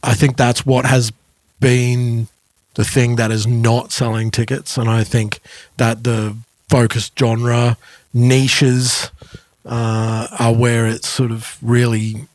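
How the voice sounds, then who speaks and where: A man with a medium-pitched voice talks in a very dry recording. He is a podcast co-host with an enthusiastic, slightly nerdy, conversational manner. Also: flat delivery, slow, dry recording. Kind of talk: casual chat.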